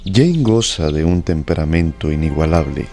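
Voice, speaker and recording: An actor playing a genial, indulgent father in a Spanish-language radio drama, affable and expressive. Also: a bass voice, moderate pace, gravelly, soft background music, exaggerated voice. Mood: genial, amused. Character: genial, indulgent father amused by his daughters.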